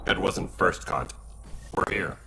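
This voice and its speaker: A low, gravelly, weary militia commander in a space game. He is terse and grave, heard a little off-mic over noisy ambience. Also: unhurried, distant mic. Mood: grim, weary. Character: weary, grim male space-station militia leader.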